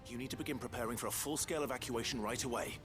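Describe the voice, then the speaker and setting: An actor playing a stoic, steadfast heroic lord in a video game, measured and grave, with a hushed delivery. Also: male voice, mid-range voice, natural voice. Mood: grave warning. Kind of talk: dramatic video game acting. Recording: loud music behind.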